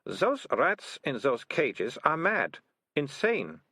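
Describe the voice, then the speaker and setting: An earnest young storybook girl played by a man, forthright and matter-of-fact. The voice is high and faintly husky, pondering each point with a lively rise and fall. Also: takes it slowly, no room sound, heavily acted. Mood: puzzled, probing.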